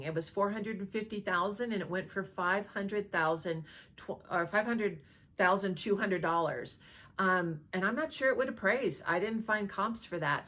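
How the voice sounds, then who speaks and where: A woman real estate agent talking conversationally to camera, explaining as she goes and often checking in with a quick questioning tag. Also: medium pitch, a bit bright. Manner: conversational, checks in with rhetorical "right?".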